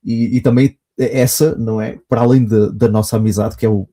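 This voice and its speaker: A European Portuguese man hosting a podcast in an eager, effusive manner. His phrasing often stalls and restarts. His voice is very dark and mellow, at a middling pitch. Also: steady tone, brisk. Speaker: podcast host. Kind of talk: podcast hosting, casual chat.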